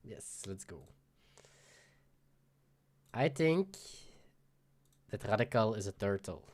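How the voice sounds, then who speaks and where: A woman co-casting a card game tournament, with short reactions that trail off quietly. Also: extremely low pitch, expressive, takes it slowly. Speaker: tournament co-caster. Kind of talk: casual card game commentary.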